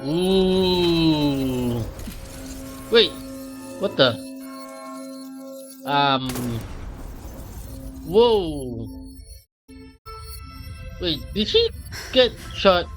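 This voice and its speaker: Gameplay commentator: casual, halting, slow-paced muttering, clear music bed. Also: medium pitch, animated. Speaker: game streamer. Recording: game music clearly behind.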